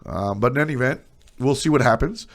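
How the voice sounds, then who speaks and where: A man's voice: medium pitch, fast, creaky. A man with a stuffy, nasal voice streaming casual, blunt opinions on esports, trailing off into asides.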